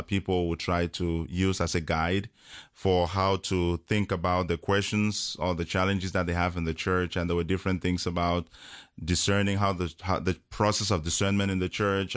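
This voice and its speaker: A man from Cameroon, a parish priest chatting on a radio call-in show, talking slowly with many fillers and chuckles. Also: sits low, fairly bright tone, smooth, dry recording, clean studio sound. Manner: slow, hesitant, many fillers, chuckles often. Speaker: priest guest. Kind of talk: casual radio chat. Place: radio studio.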